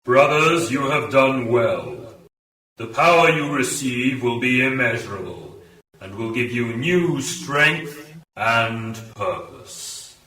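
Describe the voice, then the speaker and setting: A man's voice: mid-range voice, expressive, takes it slowly, sharp tone. A man playing a solemn, commanding cult leader monk who proclaims ceremonially in a science fiction radio play. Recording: echoey chamber, distant from the mic.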